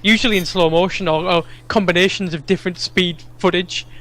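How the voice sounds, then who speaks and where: A man's voice: high-pitched, fairly even intonation, fairly quick, sharp tone. A jokey, sweary British man giving casual co-commentary on a video game. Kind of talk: casual gameplay commentary chat.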